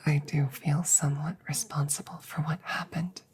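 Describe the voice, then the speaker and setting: A woman playing a protective, guilt-ridden female android sharpshooter in a roleplay audio drama, speaking in slow, measured, soothing phrases with a breathy voice. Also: sits low, little pitch movement, a little mellow, natural voice. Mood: remorseful. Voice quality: breathy whisper.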